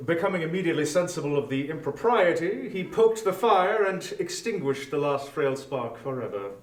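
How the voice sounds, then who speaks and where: A man's voice: a little high, very animated, moderate pace. A man narrating a story in a scripted, dramatic style, recorded at some distance in a roomy space.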